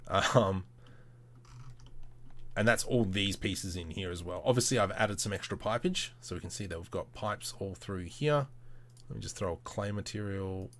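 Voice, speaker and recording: Casting: male livestream host, lowish voice, informal, talks himself through computer work and chats with viewers. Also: moderate pace, a bit bright. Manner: informal, muttering to himself, playful self-talk. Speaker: livestream host demonstrating 3D modelling. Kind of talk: casual livestream chat with thinking-aloud tutorial commentary.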